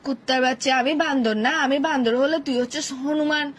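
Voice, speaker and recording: High-pitched nagging young Bangladeshi woman. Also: fairly even intonation, fairly quick, a little hiss or hum. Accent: Bangladeshi Bengali. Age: young adult. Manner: scolding, nagging, insistent. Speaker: girlfriend in a phone argument. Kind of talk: casual phone quarrel.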